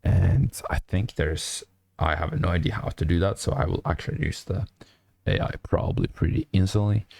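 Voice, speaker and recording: A young man with a hoarse, nearly lost voice, mumbling through a late-night coding stream with many fillers. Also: low voice, expressive, moderate pace, dry recording. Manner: speaks quietly to avoid disturbing neighbors. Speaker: programming streamer. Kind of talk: casual coding stream commentary. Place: small apartment room at night.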